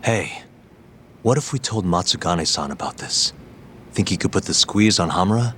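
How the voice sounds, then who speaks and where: Level-headed investigator, low voice, casual, matter-of-fact, game drama, faint ambience. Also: male voice, expressive, moderate pace, a bit bright, slight background noise. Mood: probing.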